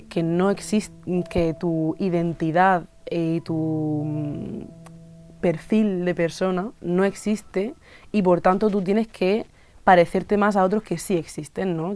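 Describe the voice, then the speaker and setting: Low, mellow-voiced Spanish woman in her twenties. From Spain. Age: 20s. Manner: thoughtful, many pauses and fillers. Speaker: illustrator and musician interviewee. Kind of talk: reflective interview monologue.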